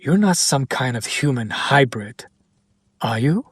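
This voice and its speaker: A man playing a sardonic, teasing demon in an intimate roleplay audio drama, speaking deliberately and directly to the listener. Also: medium pitch, animated, slightly rough, dry recording. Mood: puzzled. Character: male demon buyer, sardonic, teasing, curious about his captive.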